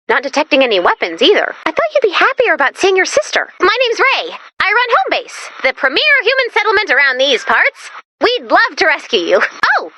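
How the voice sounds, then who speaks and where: A woman voicing a quirky young female robot helper in a video game, chipper and expressive with sarcastic asides. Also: high-pitched, no room sound, heavily acted. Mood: perky, curious. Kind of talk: animated video game character voice-over.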